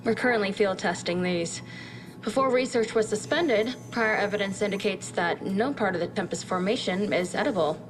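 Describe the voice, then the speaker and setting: A brisk research doctor in a video game, played by a woman, with a medium-high female voice. She explains things precisely and dryly, all business. Music is clearly audible behind her. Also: lightly acted, rough recording. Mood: matter-of-fact. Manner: matter-of-fact, precise, explanatory. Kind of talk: video game cutscene briefing.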